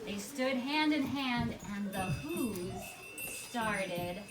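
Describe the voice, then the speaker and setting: A woman's voice: fairly high pitch, expressive, drawn-out words. A woman hosting a live puppet show, speaking informally to an audience and narrating. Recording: echoey live room, distant mic, loud music and crowd.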